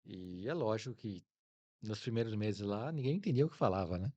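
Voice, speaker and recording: A man's voice: on the low side, fairly quick, warm tone, muffled recording. A middle-aged man with a Brazilian Portuguese accent, talking casually as an interview guest and acting out bits of dialogue as he tells his stories. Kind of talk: casual anecdotal interview talk.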